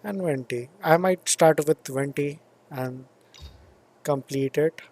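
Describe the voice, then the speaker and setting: A man's voice: on the high side, slow pace, a little mellow. A man doing casual gameplay commentary as a streamer, offhand and thinking aloud. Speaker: gaming streamer.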